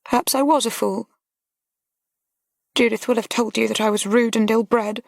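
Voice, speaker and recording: A woman's voice: high voice, lively intonation, a little slow, no echo, clean studio sound, voice pushed up. A woman reading an audiobook, voicing a fragile young noblewoman who talks in a breathless, tremulous, pleading way. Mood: distressed, tearful. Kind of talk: audiobook character voice.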